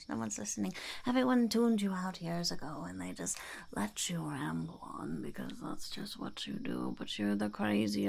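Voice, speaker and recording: A woman voicing a blunt, cheerfully cynical female goblin in an ASMR roleplay, musing and muttering in a creaky, breathy half-whisper. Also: medium pitch, darkish tone, exaggerated voice.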